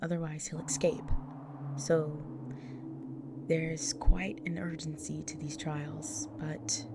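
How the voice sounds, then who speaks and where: A woman playing a grave, devoted vampire wife in an intimate audio roleplay, speaking solemnly to the listener in an often breathy, whispered delivery. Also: sits low, flat delivery, slow pace, very noisy. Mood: pleading, earnest.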